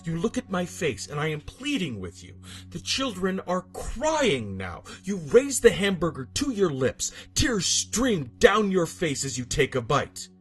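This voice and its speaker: A man's voice: high-pitched, wildly swooping pitch, unhurried, few pauses, fairly bright tone, slightly rough. Theatrical man narrating over music. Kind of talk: dramatic scripted creepypasta narration. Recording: music bed behind the voice. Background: background music.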